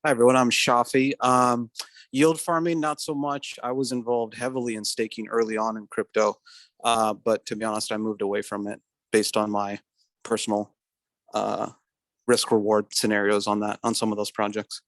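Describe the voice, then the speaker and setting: A man giving a casual, measured self-introduction at a meeting. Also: lowish voice, flat delivery, a bit bright, no echo. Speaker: meeting participant.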